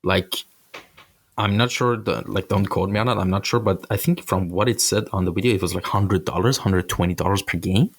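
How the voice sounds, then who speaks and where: A man's voice: low-pitched, fairly quick, husky. A man from Iran, hosting a casual podcast chat and talking with enthusiasm and lots of "like". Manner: enthusiastic, fills with "like". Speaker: podcast host.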